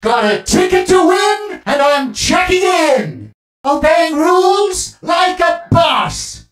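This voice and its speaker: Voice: extremely high pitch, huge pitch swings, takes it slowly, a bit bright, roomy sound, distant mic, faint music behind, exaggerated voice. An actor voicing a cheerful, officious robot ticket attendant who is eager and optimistic, for a video game. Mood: perky, bossy. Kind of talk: video game character voice lines.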